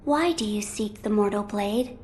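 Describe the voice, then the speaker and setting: An actress playing a serene, solemn young sacred child in a video game, with formal, gentle delivery. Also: female voice, mid-range voice, moderate pace, natural voice. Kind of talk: dramatic video game acting.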